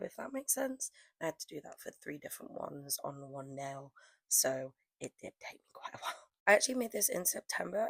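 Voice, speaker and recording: A woman's voice: low voice, dry recording. A young woman losing her voice to a cold, rambling casually with lots of fillers as she talks through her nail art. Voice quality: hoarse, croaky. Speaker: press-on nail artist. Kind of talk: casual chatty vlog narration.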